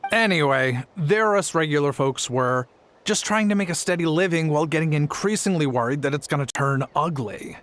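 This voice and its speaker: A man's voice: on the high side, normal speed, fairly bright tone, lightly acted. An actor playing a mild, apologetic family-man merchant in a video game, explaining things somewhat hesitantly. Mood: resigned.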